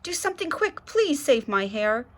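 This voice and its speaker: Woman putting on a dismayed, high-pitched little girl. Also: sing-song, moderate pace. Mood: pleading. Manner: screechy, exclaiming.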